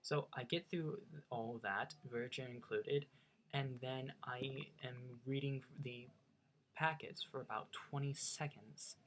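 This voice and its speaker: A young man with a faint Norwegian accent tells a personal story casually. He talks in a chatty, self-mocking way and often stops to restart his sentences. His voice sits at an ordinary pitch for a man. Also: fairly even intonation, slow, fairly bright tone. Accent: slight Norwegian. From United States. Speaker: vlogger.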